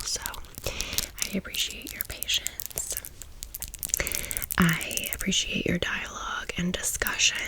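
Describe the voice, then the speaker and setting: Whispering woman ASMR host, slow and hushed. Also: on the low side, low-quality recording. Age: 30s. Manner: gentle, drawn-out, repeats words and phrases.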